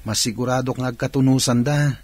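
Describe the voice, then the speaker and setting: An actor plays a confident older brother in an Ilocano radio drama. His mid-range voice is husky, and he speaks with assurance to a younger sibling. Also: medium pitch, fairly even intonation, brisk, darkish tone, no echo, natural voice. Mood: assured.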